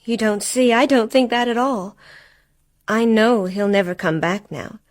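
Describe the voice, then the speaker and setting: Slow, hushed, grieving wife played by an actress. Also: female voice, on the high side, no echo, clean studio sound, close to an everyday voice. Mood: resigned grief. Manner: hushed, slow and brooding, sometimes whispering. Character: grieving, weary wife of a vanished husband. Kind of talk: radio play acting.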